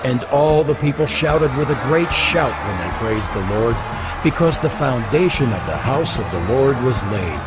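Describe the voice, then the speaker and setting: A man narrating scripture as a scripted dramatized reading, solemn and expressive in his storytelling. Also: mid-range voice, normal speed, rough recording. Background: music.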